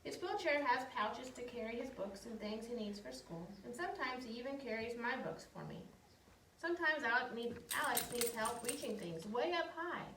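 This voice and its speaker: A woman reading children's picture books aloud in a simple, expressive storytelling way, with casual asides. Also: on the high side, moderate pace. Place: outdoors in the wind, with animals. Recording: echoey, distant, noisy windy outdoor recording.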